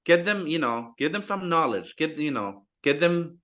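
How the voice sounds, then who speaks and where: Casual host, halting introduction. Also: medium pitch, sing-song, fairly bright tone. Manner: casual, fumbling with fillers.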